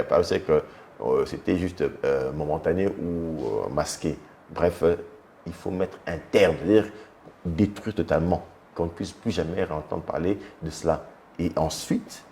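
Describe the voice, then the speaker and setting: Man, deep and warm, measured debate guest from home, slight room noise. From Democratic Republic of the Congo. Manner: measured, argumentative, many filler hesitations. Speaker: guest commentator. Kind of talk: televised political debate.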